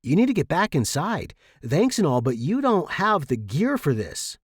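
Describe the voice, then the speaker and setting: A man's voice: on the high side, sing-song, normal speed, husky, no room sound, clean studio sound, pitched above the performer's own voice. A man voicing a fragile, nervous, self-deprecating spaceman in an audiobook, speaking earnestly. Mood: concerned.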